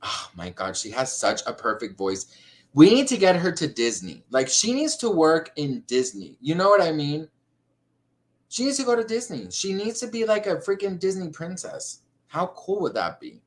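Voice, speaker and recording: A man's voice: on the high side, big pitch swings, normal speed. A man hosting a casual livestream chat, effusive and gushing, calling guests honey and girl. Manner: chatty, effusive, calls people honey and girl. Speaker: livestream host.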